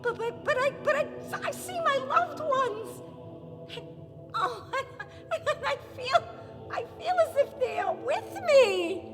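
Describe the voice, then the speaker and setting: Departed royal lady for afterlife radio drama, played by an actress: high, wistful, swooping, halting, heard in a reverberant hall. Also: expressive, full character voice. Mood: overwhelmed, awed. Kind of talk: radio play acting. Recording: reverberant hall with music behind.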